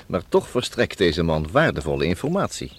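Polite, brisk detective for radio drama: low register, varied intonation, slightly noisy recording. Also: male voice, huge pitch swings. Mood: polite, probing. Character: polite, probing male investigator. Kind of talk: Dutch radio play acting.

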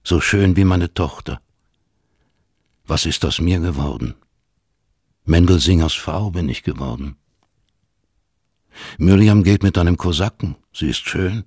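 A man with a husky voice reading scripted literary narration in a measured storytelling manner. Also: deep voice, darkish tone, no room sound, studio quality.

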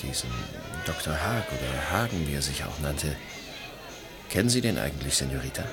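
An actor playing a suave, cynical journalist in a German radio play, talking with ironic, teasing gallantry. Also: male voice, rumbling low, big pitch swings, normal speed, loud music behind the voice, full character voice. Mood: skeptical, dry. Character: suave, cynical male journalist chasing money, world-weary adventurer.

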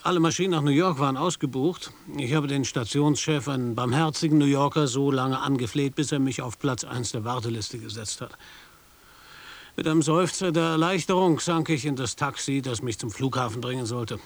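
A skeptical, wry American reporter, played by a man in a radio play. His mid-pitched voice questions and narrates with dry doubt. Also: fairly quick, lightly acted.

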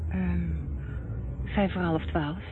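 An actress playing a cautious but plucky companion of a detective in a radio play. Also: female voice, lowish voice, fairly even intonation, slow, music in the background. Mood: wondering, cautious. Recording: muffled narrowband old radio play recording, noisy backing.

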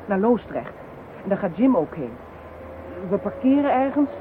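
An actress playing a brisk, commanding female police inspector with dry sarcasm. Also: mid-range voice, very animated, noisy background, close to an everyday voice. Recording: tinny lo-fi old radio recording.

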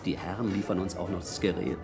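A man's voice: sits low, fairly even intonation, fast, creaky. A man playing a ruthless, impatient burglar ringleader in a radio crime drama, bossy, urgent and conspiratorial. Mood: scheming, confident. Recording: vintage radio play with music.

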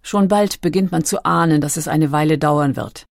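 A woman reading scripted documentary narration in a measured, factual manner. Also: low-pitched, gravelly, no room sound, studio quality.

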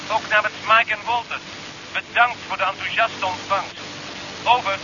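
Man playing space crewman, high voice, clipped radio procedure, questioning, radio drama, dull noisy recording. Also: fairly even intonation, normal speed, natural voice. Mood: businesslike, wry. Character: businesslike male crewman on radio, questioning orders. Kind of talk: 1970s science-fiction radio play acting. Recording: dull old radio recording, vehicle noise.

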